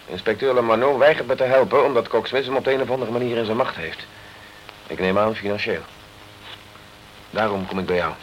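A man's voice: on the low side, a little fast, pauses often, a little hiss or hum, close to an everyday voice, rough recording. An actor playing a determined, blunt British man in a crime radio play, speaking tersely, earnestly and insistently. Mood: urgent. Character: determined, blunt British man fighting to clear an accused friend.

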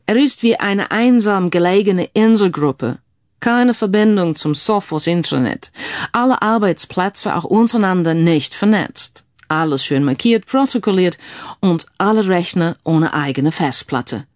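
A woman voicing a calm male IT security expert who explains things in a mildly schoolmasterly way, mixing English phrases into the talk. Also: lowish voice, measured pace, no echo, clean studio sound. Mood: patient, explaining. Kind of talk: audiobook character dialogue.

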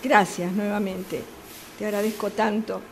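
A woman's voice: mid-range voice, little pitch movement, a little slow, close to an everyday voice. An actress playing a chatty, exasperated woman with a Rioplatense Argentine accent, gossiping and complaining in a radio play about a subway phone call. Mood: polite. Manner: gossipy, exasperated, repeating herself. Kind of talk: radio theatre monologue acting. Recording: clearly noisy amateur workshop recording.

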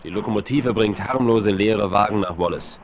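A man's voice: fairly low pitch, normal speed, over a noisy crowd, natural voice. Man playing a blunt, cynical outlaw henchman. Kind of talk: German radio play acting. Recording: 1970s vinyl radio play.

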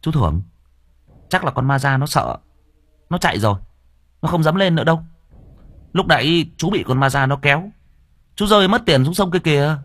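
A man voicing a brash young man, hurried and scolding, raised high above his own voice. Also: lively intonation, fairly bright tone. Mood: coaxing.

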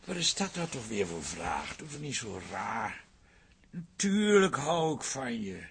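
A man's voice: mid-range voice, very animated, a little slow, vocal fry, a bit bright, slightly rough, heavily acted. A man playing a harried, placating café landlord and husband in a Dutch radio play, blustering and repeating words when flustered. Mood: weary, evasive.

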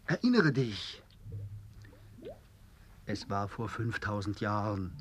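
An actor in a German radio drama playing an angel, a solemn and insistent messenger who speaks in an incantatory way. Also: male voice, fairly low pitch, animated, slow. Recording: noisy radio drama recording with clicks.

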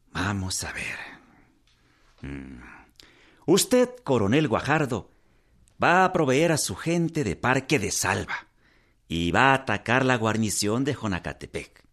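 An actor with a Mexican accent playing a scheming, cold-blooded general giving calculated, menacing orders in a radio drama. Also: male voice, mid-range voice, fairly bright tone, exaggerated voice.

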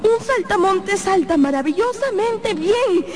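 A woman's voice: very high-pitched, lively intonation, a little slow. A woman playing a frightened young heroine in a radio drama, pleading with urgency. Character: frightened young woman in mortal peril, Cristina.

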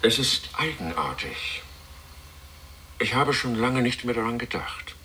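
An actor playing a weary, introspective male astronomer in a radio play, speaking in a halting, musing manner. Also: mid-range voice, sing-song, pushed and bright, a little hiss or hum. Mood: wistful, brooding. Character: weary introspective astronomer, captive on moon mission.

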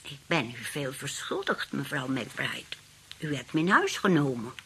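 An actress playing a feisty, scheming old great-aunt in a radio play, husky and indignant, grumbling and outspoken. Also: female voice, rumbling low, big pitch swings, unhurried, fairly bright tone, a little hiss or hum, exaggerated voice. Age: older.

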